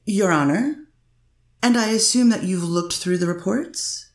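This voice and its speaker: A woman's voice: mid-range voice, a little slow. A woman attorney cross-examining a witness in a courtroom, pressing with leading questions. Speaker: defense attorney. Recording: echoey courtroom recording.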